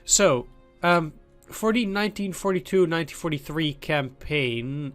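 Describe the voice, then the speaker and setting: A man's voice: on the high side, expressive, measured pace, fairly bright tone, faint music behind. A man with a cold hosting a let's play, talking loosely and thinking aloud as he plays. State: has a cold. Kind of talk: casual gameplay commentary.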